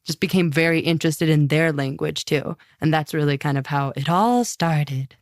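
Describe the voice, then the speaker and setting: A woman's voice: low voice, moderate pace, no echo, clean studio sound. A young American woman, a guest on a podcast, chatting casually and upbeat with many "like" fillers. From United States. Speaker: podcast guest, language learner and singer. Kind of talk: casual interview chat.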